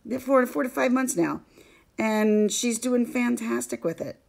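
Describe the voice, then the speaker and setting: A woman in her thirties, a vlogger and mother, chatting casually in a scratchy, hoarse voice, rambling and laughing often. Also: fairly high pitch, unhurried, a little mellow. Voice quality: scratchy, gravelly voice. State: hoarse from allergies. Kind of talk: casual chat to camera.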